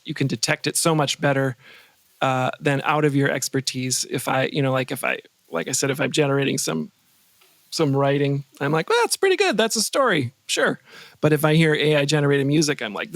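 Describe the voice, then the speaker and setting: Higher-voiced man, thoughtful and wry. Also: no echo. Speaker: podcast guest, composer and sound designer. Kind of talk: casual interview chat.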